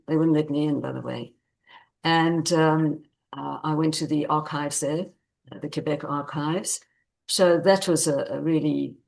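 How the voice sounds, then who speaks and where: A woman speaks in a low register as an author being interviewed. She explains things conversationally and with measured care, leaning on repeated phrases to make a point. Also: vocal fry, poor audio. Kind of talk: conversational interview answers about own book.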